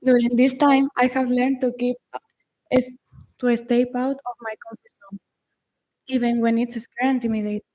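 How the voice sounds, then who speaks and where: A young woman from Spain, an exchange student giving a prepared speech and now and then halting to find her words. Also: on the high side, dark tone, rough recording. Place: school awards ceremony.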